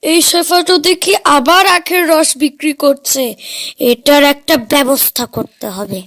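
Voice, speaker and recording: A woman's voice: high voice, lively intonation, moderate pace, fairly bright tone, dry recording, studio quality. A woman voicing a scheming, grumbling husband in an animal cartoon for children. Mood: scheming, annoyed. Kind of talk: animated children's story dialogue.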